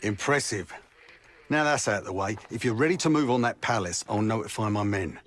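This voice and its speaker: A man playing a brisk, no-nonsense British SAS squad commander in a military game. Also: lowish voice, lightly acted. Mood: curt. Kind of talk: cinematic military game acting. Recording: loud music behind the voice.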